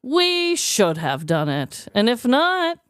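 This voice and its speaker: A woman co-hosting a podcast with casual comic storytelling and banter, animated and sweary, acting out dialogue. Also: fairly high pitch, slow pace, clean tone, no room sound, studio quality. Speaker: podcast co-host.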